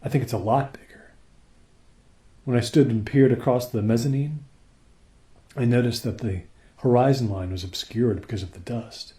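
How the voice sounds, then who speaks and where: A man playing a dutiful crewman reporting to his captain in a radio play, earnest, measured and deferential. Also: medium pitch, mellow, natural voice. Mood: earnest, concerned.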